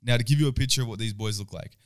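A man's voice: mid-range voice, rapid-fire, smooth. A young man in his twenties, casually telling a swear-filled story with a laugh now and then. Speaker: podcast co-host. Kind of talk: casual chat.

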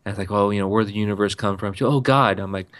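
A man's voice: fairly low pitch, fast, a bit bright, smooth. A man chatting casually, rambling and often restarting his sentences as he tells stories. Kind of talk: casual podcast chat.

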